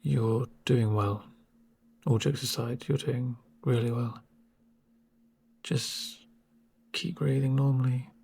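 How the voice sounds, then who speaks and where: Man playing a breathy, slow-talking calm male medic. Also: medium pitch, exaggerated voice. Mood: soothing. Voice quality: breathy, near-whispered. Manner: gentle, unhurried, reassuring murmur. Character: calm, soft-spoken male medic with dry dark humour. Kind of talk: soft-spoken ASMR roleplay.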